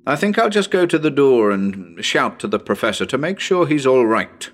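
A man's voice: mid-range voice, sing-song, normal speed. A man playing a nervous radio broadcaster on a ghost hunt in a dramatic reading, talking to listeners in a chatty, hesitant, uneasy way. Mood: uneasy, curious. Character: nervous male radio broadcaster ghost hunting in haunted house.

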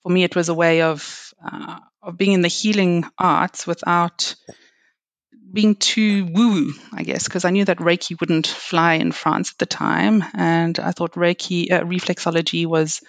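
Middle-aged woman, South African accent, lowish gravelly voice, dry close sound, reflective casual chat. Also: steady tone, unhurried, no room sound. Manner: warm, reflective, many fillers and soft laughs. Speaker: guest, reflexology and Reiki practitioner.

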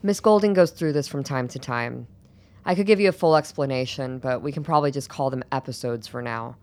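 An actress playing a formal, polite and guarded doctor in a radio drama, with a composed manner. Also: female voice, a bass voice, fairly quick, darkish tone. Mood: composed, clinical.

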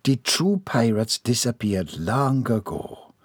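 A man voicing a formal, commanding mistress of a mansion, from Jamaica, who speaks primly and briskly. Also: medium pitch, sing-song, breathy edge, no echo, studio quality, exaggerated voice. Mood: proper.

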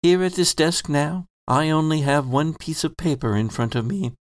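A man voicing a kindly middle-aged landlord, telling his thoughts in a soft, reflective first-person monologue. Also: a little high, moderate pace, no room sound, studio quality, lightly acted.

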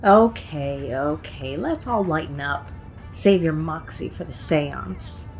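A woman's voice: sits low, lively intonation, slow, soft background music, exaggerated voice. A woman playing an easygoing teenage girl, the ringleader and peacemaker, in an audio drama. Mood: placating.

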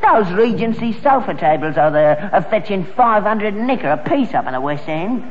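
A man's voice: high voice, very animated, moderate pace. An actor playing a stubborn, grubby old London rag-and-bone man and father in a sitcom, grumbling and wheedling in working-class slang. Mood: stubborn, indignant. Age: older. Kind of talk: British sitcom acting before an audience. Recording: dull archive recording with studio audience.

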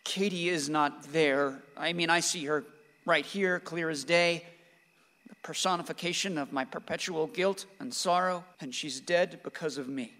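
A bereaved, bitter husband and father in a radio drama. He is weighed down by guilt and clings to his guns. The voice sits a little high and rings in a hall. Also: moderate pace, sharp tone. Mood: incredulous, bitter. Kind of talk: stage-like radio play acting. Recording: reverberant hall-like room.

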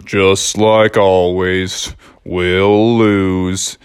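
A man voicing a gloomy, mopey donkey who talks slowly and flatly. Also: low voice, clean tone, no room sound, clean studio sound, pitched below the performer's own voice. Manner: slow, flat, mopey.

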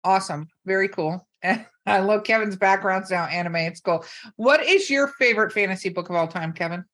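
A woman's voice: medium pitch, normal speed, fairly bright tone. A woman with a mid-range voice hosts a casual podcast. She runs her guests through questions with bossy teasing and salty language. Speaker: podcast host. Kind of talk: casual chat, rapid-fire questions to guests.

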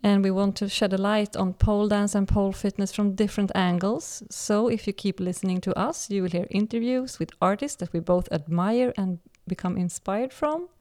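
A woman's voice: mid-range voice, normal speed, hardly any pauses, darkish tone. A woman in her forties from Sweden, speaking English with a Swedish accent as she hosts a casual podcast chat and leads the talk. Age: 40s. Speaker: podcast host.

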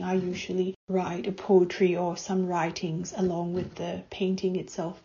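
A woman's voice: fairly low pitch, dark tone, lo-fi sound. A woman from Myanmar with a Burmese accent, a native Burmese speaker, explaining her work as a guest poet. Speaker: guest poet and visual artist. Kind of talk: explanatory talk introducing own work.

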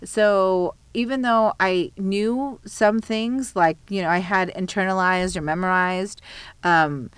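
A woman's voice: mid-range voice, takes it slowly, clear, resonant tone, no echo. A middle-aged woman who grew up speaking Iraqi Arabic, chatting enthusiastically as a guest about teaching. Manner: enthusiastic, animated when quoting students. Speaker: guest, Arabic program director. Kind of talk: casual interview chat.

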